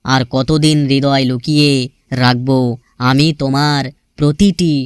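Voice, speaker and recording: A man's voice: medium pitch, little pitch movement, measured pace, smooth, no room sound, clean studio sound. A man reciting sentimental scripted lines about love in an earnest, melancholy, poetic manner.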